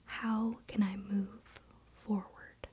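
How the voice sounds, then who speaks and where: A woman speaks in a breathy whisper with a dark, relaxed tone. She takes her time, confiding in the listener as an ASMR creator would. A faint layer of noise sits behind the close whisper. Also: mid-range voice. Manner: unhurried, confiding, speaking directly to the viewer.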